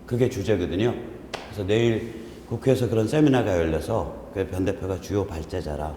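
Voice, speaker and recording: A man's voice: sits low, a little fast, dark tone. A man in his fifties hosting a casual talk show, joking and self-deprecating, with a congested, strained voice. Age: 50s. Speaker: host. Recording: roomy hall echo, faint noise.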